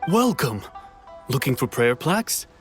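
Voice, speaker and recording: A courteous stall vendor in a video game. His mid-range voice is polite and helpful, explaining things clearly to customers. Faint music plays behind him. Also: mid-range voice, sing-song, a little slow. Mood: welcoming, helpful. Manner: polite, instructive. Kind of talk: video game character acting.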